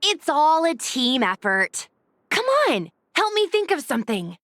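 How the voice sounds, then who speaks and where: A woman's voice: high voice, very animated, unhurried, fairly bright tone, no echo, exaggerated voice. A woman voicing a bossy, enthusiastic young ghost hunter girl in an anime-style video game. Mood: pushy, upbeat. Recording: dry voice with faint music.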